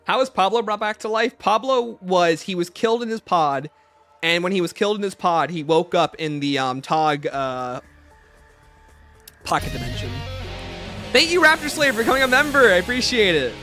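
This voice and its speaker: A man's voice: high-pitched, animated, moderate pace, sharp tone, soft background music. A man hosting a casual livestream, reading out chat questions and answering them conversationally, breaking off to greet and thank viewers. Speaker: livestream host.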